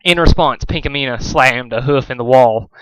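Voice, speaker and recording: A man's voice: medium pitch, moderate pace, no echo, rough recording. A man narrating a story aloud as an amateur reader, sometimes stumbling over words and rereading. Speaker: amateur fan fiction reader.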